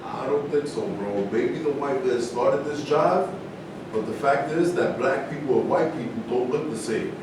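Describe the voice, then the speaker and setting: Actor as a blunt ex-athlete, distant and echoey. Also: male voice, moderate pace, close to an everyday voice. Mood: blunt, insistent. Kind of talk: staged play reading. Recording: distant roomy stage recording, rumbling noise.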